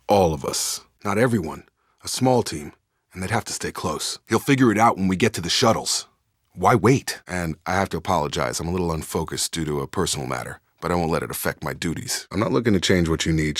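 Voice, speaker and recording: A dutiful soldier in a sci-fi video game. His voice sits low and is recorded very dry. He speaks in clipped, matter-of-fact military phrases and comes across as steady and loyal. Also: no room sound. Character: soldierly, steady, dutiful adult male space operative. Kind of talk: scripted video game dialogue.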